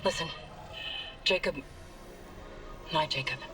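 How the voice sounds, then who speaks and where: A woman's voice: sits low, slow pace. A woman playing a grim, determined scientist and survivor in a video game, speaking with weary urgency. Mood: serious. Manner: urgent, explanatory. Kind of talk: dramatic video game acting. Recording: music under the voice.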